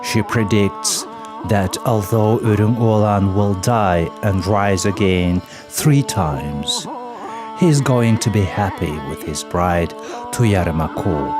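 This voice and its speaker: A man narrating a folk epic as scripted storytelling, in a measured, solemn manner. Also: deep voice. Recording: voice-over with loud music behind. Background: loud music.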